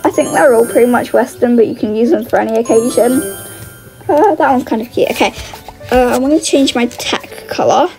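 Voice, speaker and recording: A woman's voice: a little high, brisk, a little mellow, rough recording. A woman giving casual, chatty gameplay commentary, exclaiming and thinking aloud as she plays. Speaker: gaming video host. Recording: music under the voice.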